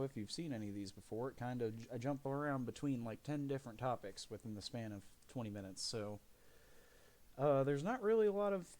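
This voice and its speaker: A man live streaming from his home desk, his voice raspy from phlegm, rambling casually with many fillers and restarts. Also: mid-range voice, a little mellow. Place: desk corner of a home living room.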